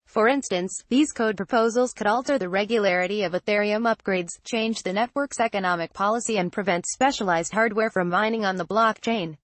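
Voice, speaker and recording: Woman narrator reading a news article, formal, mid pitch, dry recording no room sound. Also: a little fast, hardly any pauses, dry recording.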